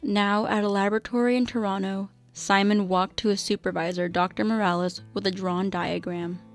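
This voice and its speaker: A woman's voice: mid-range voice, steady tone, normal speed, faint music behind. A woman delivering scripted narration for a radio drama.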